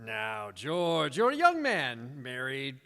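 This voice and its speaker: An actor playing a scheming, condescending old rich businessman in a radio play, gravelly and pompous, hemming as he goes. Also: mid-range voice, huge pitch swings, takes it slowly, pushed and bright, heavily acted. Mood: smug, condescending. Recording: echoey hall, stage reverb.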